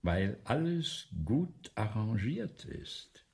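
A vain, self-dramatizing man of letters from the nineteenth century. His voice is very breathy and raspy and sits a little low, with big swings in pitch. He holds forth pompously and theatrically, in the manner of a seventies radio drama. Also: very animated, very slow, a little mellow, exaggerated voice. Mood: tense, self-assured. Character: vain, excitable, self-dramatizing 19th-century male playwright. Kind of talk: German radio play acting, 1970s.

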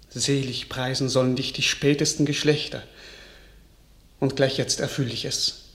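A stately grateful king. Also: on the high side. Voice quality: stately, measured. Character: grateful, gracious young king. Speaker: actor in a radio play. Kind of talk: classic verse radio drama.

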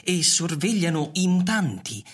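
An actor voicing an ancient, loyal robot butler in a radio drama, formal, deferential and wistful, speaking in a measured way. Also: medium pitch, sing-song, breathy edge. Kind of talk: Italian radio theatre acting.

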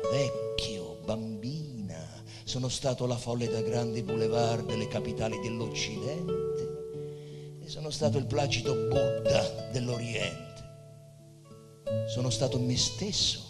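Slow, dying visionary poet onstage. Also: male voice, medium pitch. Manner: declamatory, with long pauses and hushed, sighing trail-offs. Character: dying middle-aged male poet, weary, visionary, grandiose. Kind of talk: theatrical stage monologue. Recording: live theatre hall, long reverb, guitar music. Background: Portuguese guitar music.

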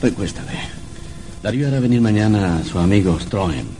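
An actor playing a young novelist husband in an old radio drama, earnest, curious and theatrical. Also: male voice, on the low side, expressive, fairly quick, warm tone, a little hiss or hum. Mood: caring.

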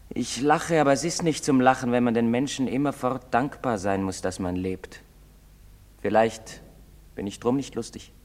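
An actor playing an anxious, brooding young outcast in love in a stage drama, speaking haltingly. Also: male voice, medium pitch, a little mellow, close to an everyday voice. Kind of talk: stage-drama acting in German. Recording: very reverberant hall sound.

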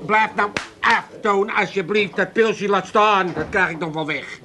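Cheeky, high-voiced old father. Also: a little slow, loud music behind the voice. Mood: mocking. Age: older. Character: cheeky, contrary old Amsterdam father, wry joker. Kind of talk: 1980s comic radio play acting.

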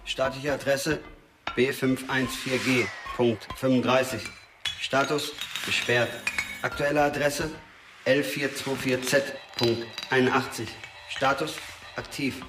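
A man plays an odd informant in a radio crime drama, reciting records like a database. His delivery is clipped and impassive, set back in a roomy space with clear noise around it. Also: mid-range voice, fairly even intonation, measured pace, close to an everyday voice. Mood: flat, procedural. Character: Quick, odd male informant reciting data like a terminal. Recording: roomy, distant, noisy with clicks.

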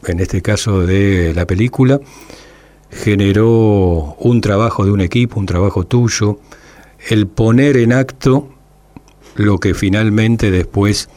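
A man hosting an interview, asking a long, elaborately phrased question in a measured way. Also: lowish voice, a little mellow. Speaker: interview host.

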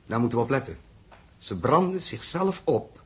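A man acting an earnest, composed and authoritative spaceship commander in a 1960s radio drama, with a Netherlands Dutch accent and a husky voice. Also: mid-range voice, expressive, normal speed, a little mellow. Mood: steady, serious. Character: earnest, steady male spaceship commander and test pilot.

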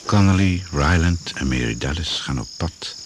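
An actor narrating a radio drama as a grave, measured storyteller in terse sentences. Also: male voice, extremely low pitch, expressive. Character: grave male narrator setting jungle scenes. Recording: noisy old radio play recording.

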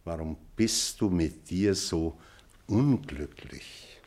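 An actor playing an elderly retired schoolmaster in a drama, pointed and deliberate, stern but tender toward a former pupil. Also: male voice, sits low, animated, a little mellow. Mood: earnest, moved. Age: older. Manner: deliberate, pointed, rhetorical repetition. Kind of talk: theatrical radio play dialogue.

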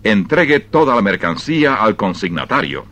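Lively low-voiced male radio storyteller. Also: loud music behind the voice. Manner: expressive, measured. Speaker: narrator. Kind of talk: dramatized storytelling narration for radio.

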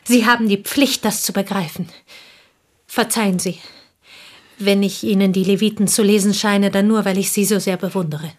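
Actress doing loyal confidante lady in a radio drama, slightly husky, formal, earnest, politely firm. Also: mid-range voice, fairly even intonation, moderate pace, close to an everyday voice. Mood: earnest, admiring. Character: earnest, loyal female confidante, respectful but reproving. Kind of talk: radio play acting.

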